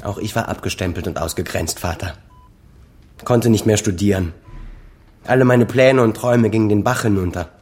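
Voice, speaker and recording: A middle-aged man played by an actor speaks in a low register. He is cynical and accusing, a wounded grown son in a naturalistic radio play, biting and sarcastic with his father. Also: normal speed, darkish tone, close to an everyday voice. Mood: bitter. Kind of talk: naturalistic German radio drama acting.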